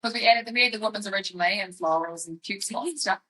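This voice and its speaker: A woman's voice: medium pitch, fast talker, bright, forceful tone. A young adult woman, chatting casually and informally as a podcast co-host. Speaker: podcast co-host and fashion company staff member. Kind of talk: casual chat.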